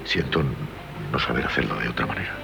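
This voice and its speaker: An actor playing a despairing, defiant, world-weary man on trial in a 1970s radio drama, halting and brooding, with a Castilian Spanish accent. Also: extremely low pitch, creaky, heavily acted. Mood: sorrowful apology. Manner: hesitant, brooding, halting, repeating words. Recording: 1978 radio recording with loud music.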